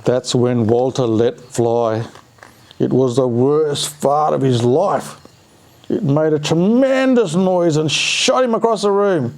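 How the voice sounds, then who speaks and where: A man's voice: fairly high pitch, takes it slowly, darkish tone. A man reading a children's story aloud expressively, with playful, chatty asides to a pet. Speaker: storyteller reading a picture book to a dog.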